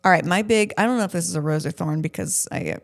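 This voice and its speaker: A woman's voice: lowish voice, big pitch swings, fast talker, vocal fry. A woman co-hosting a podcast in casual conversation, with a blunt, self-deprecating way of talking. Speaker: podcast co-host interviewing her partner.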